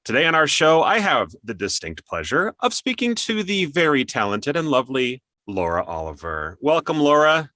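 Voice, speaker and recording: A man's voice: a little high, sing-song, moderate pace, few pauses, sharp tone, dry recording, studio quality. A man hosting an interview show, moving between scripted introduction and upbeat chat.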